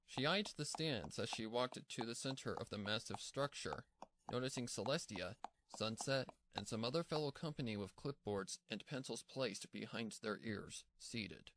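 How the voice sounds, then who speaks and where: A man's voice: mid-range voice, expressive, normal speed, noisy background. A man reading scripted fan-fiction narration as the storyteller.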